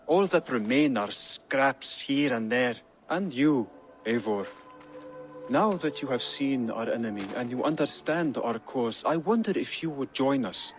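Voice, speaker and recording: An actor playing an earnest, scholarly member of a secret assassin order in a video game, with polite, thoughtful, formal speech. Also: male voice, mid-range voice, lightly acted. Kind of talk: dramatic video game acting.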